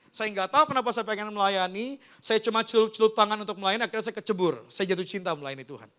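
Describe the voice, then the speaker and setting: A man preaches with a strikingly high-pitched voice that is bright and smooth. As a pastor, he tells personal testimony in informal, conversational language, speaking straight to his listeners. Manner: informal storytelling, often addressing listeners directly. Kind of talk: personal testimony in a sermon, addressing a congregation.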